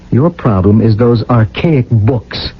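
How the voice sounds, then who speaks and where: A man's voice: mid-range voice, drawn-out words, slightly rough, heavily acted. A man playing an anxious, conformist brother, urgent and reproving, in a radio drama. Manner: urgent, scolding, emphatic. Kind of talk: 1980 radio drama acting. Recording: dull, lo-fi old radio recording.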